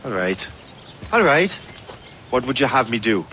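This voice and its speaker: An actor playing a cocky, breezy young sailor-assassin in a video game. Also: male voice, medium pitch, sing-song, measured pace, bright, forceful tone, slight background noise. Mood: cheeky. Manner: breezy, cheeky. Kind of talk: video game cutscene acting.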